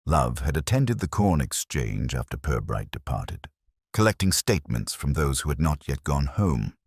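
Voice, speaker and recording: A man narrating a radio mystery in a measured, dryly ironic storytelling manner. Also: extremely low pitch, big pitch swings, a little mellow, slightly rough, no echo, clean studio sound.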